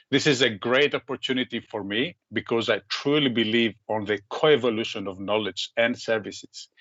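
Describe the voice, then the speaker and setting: A man's voice: mid-range voice, a bit bright, no echo. A man from Greece giving an earnest, prepared self-introduction as a researcher over a video call. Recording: dull lo-fi sound.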